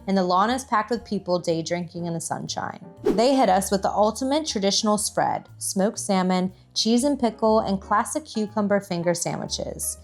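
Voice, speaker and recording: A woman narrating a travel vlog from a script as its host. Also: mid-range voice.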